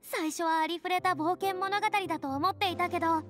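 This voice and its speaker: A woman voicing a theatrical, breezy young lady of high standing in an anime game, with grand, boyish phrasing. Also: steady tone, a little fast, fairly bright tone, faint music behind. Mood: breezy satisfaction.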